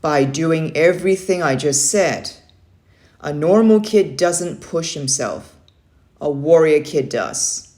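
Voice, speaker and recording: A woman's voice: low voice, lively intonation, slow, a little mellow. A woman voicing a stern, motivating young Navy uncle in a children's story read-aloud, firm and emphatic. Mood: stern, instructive.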